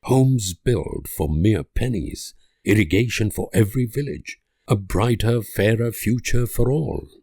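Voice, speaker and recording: An actor playing an idealistic, world-weary diplomat, delivering grave, deliberate speeches and letters in a strategy game. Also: male voice, fairly low pitch, mellow, no room sound, clean studio sound. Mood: wistful hope.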